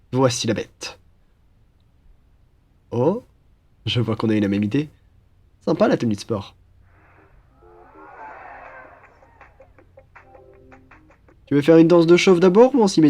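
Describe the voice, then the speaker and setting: A man's voice: medium pitch, mellow. A man playing a playful, chatty young roommate. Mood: playful, teasing. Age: young adult. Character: cheerful, teasing young male student roommate, aspiring chef. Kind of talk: ASMR audio roleplay, casual one-sided chat.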